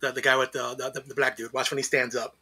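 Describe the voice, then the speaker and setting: A man with a rough, sharp voice chatting casually in a movie watch-along. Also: medium pitch, little pitch movement, fast, crowd noise. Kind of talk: casual chat.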